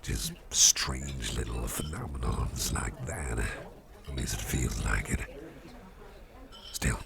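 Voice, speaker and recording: Man acting as a rumbling, gravelly, very deep chummy boss. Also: heavy background noise, exaggerated voice. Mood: confiding. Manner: rambling, chummy, halting, repeats phrases. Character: friendly, rambling male boss at a Halloween party. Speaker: ASMR roleplay performer. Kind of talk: ASMR roleplay, personal chat to the listener. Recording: music playing behind the voice.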